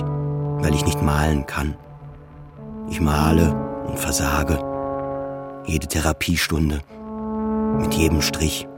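Deep-voiced brooding man. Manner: halting, repetitive, introspective monologue. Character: depressed forty-year-old male patient, brooding, self-doubting narrator. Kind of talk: radio drama monologue.

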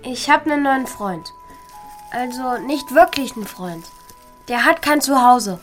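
Earnest schoolkid lead for children's radio play, high voice, thoughtful and kind-hearted. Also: female voice, expressive, slow pace, soft background music. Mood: secretive, determined. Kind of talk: German children's radio play acting and narration.

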